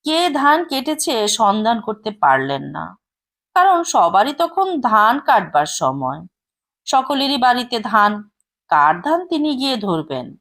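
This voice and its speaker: A woman reads a short story aloud at an unhurried pace. Her voice is somewhat high and lively, moving up and down expressively. She tells the story in a calm, steady way. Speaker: story reader. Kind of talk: storytelling narration.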